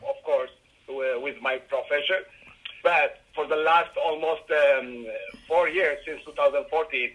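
A middle-aged man from Ethiopia with an Ethiopian accent, answering interview questions haltingly with many fillers and restarts. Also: on the high side, bright, forceful tone, rough recording. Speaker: guest, rideshare driver.

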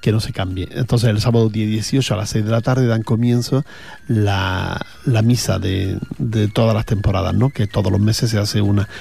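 A man's voice: on the low side, gravelly, a little mellow. A man with an Andalusian accent, chatting casually as a radio host between songs, rambling with fillers. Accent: Andalusian Spanish. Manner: rambling, chatty, with frequent fillers and repeated words.

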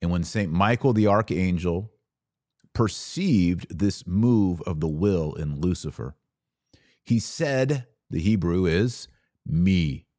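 A man with a low voice gives a religious lecture as a podcast host. He speaks at a very unhurried pace, emphatic and didactic, leaving dramatic pauses between short phrases. The sound is very dry, with no room on it. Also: animated, darkish tone, dry recording. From Texas.

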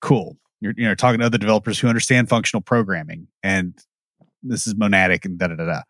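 A man's voice: on the low side, steady tone, quick pace, fairly bright tone, no echo, clean studio sound. A man co-hosting a podcast, giving blunt, wry opinions and anecdotes. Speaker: podcast co-host. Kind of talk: casual opinionated chat.

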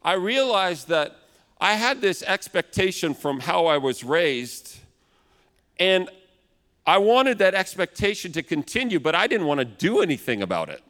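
A man in his thirties preaching a sermon in a loud, big voice, now and then acting out complaining customers. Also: high voice, sing-song, a little slow. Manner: acts out complaining customers in raised voice. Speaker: pastor. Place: large church hall. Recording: long hall reverb.

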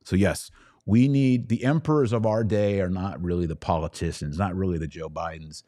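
A man's voice: low voice, normal speed, warm tone, no echo, clean studio sound. A middle-aged American man hosting a casual livestream, talking emphatically and with opinion in short, often repeated phrases. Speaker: podcast host taking live audience questions. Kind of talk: casual livestream monologue, reading and answering comments.

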